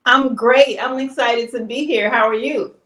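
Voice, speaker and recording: Chatty guest in her fifties, from Chicago, somewhat high voice, roomy sound. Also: steady tone, normal speed, a bit bright. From Chicago, USA. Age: 50s. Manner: chatty, loose storytelling. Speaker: guest, hairstylist. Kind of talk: casual interview. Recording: echoey room.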